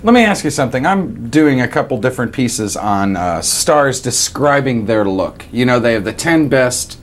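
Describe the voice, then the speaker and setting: A man interviews a film star with light, teasing questions. His voice sits at an average pitch. Faint noise is heard behind him. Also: very animated, normal speed. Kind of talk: entertainment press interview.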